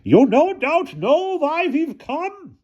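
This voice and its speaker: A man voicing a rude, tipsy village guard with a shrill voice and a comical accent, in a storybook reading. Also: big pitch swings, slow pace, a little mellow, highs cut off, exaggerated voice. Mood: rude, sneering. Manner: drunken, accusing.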